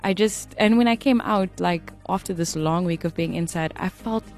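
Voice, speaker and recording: A woman's voice: on the low side, moderate pace. Woman host with a slight cough. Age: young adult. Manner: upbeat and expressive. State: recovering from flu, slight cough. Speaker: radio co-host. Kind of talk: casual radio chat. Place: radio studio. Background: faint music bed.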